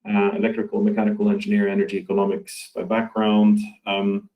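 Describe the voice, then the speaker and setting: A man from Norway giving a conference talk over a video call, speaking haltingly with many fillers and restarts. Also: on the low side, completely flat delivery, mellow, rough recording. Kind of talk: online conference presentation.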